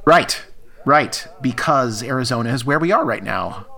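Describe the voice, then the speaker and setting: A man's voice: mid-range voice, expressive, normal speed, vocal fry, bright, forceful tone. A man playing an evasive, flustered diner worker in a naturalistic audio drama, awkward and hesitant. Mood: distracted, evasive.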